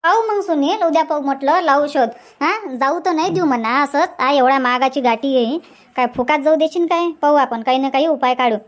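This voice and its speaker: Someone voicing a high-pitched scolding village auntie. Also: brisk, dull audio, close to an everyday voice. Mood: indignant. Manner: emphatic, scolding, repetitive. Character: opinionated village auntie, scolding and advising neighbours. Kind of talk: Marathi cartoon comedy dubbing.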